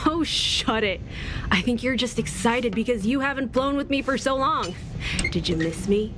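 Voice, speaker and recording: A woman's voice: fairly high pitch, expressive, moderate pace, noisy background, close to an everyday voice. An actress playing a wry, devoted mother who flies as a daring fighter pilot in a dramatic video game. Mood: wry, fond. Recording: music behind the voice.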